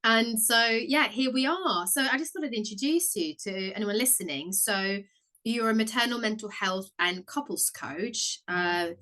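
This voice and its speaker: A woman's voice: a little high, moderate pace, bright, forceful tone. Woman podcast host with a Norwegian accent. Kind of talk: scripted intro and casual interview chat.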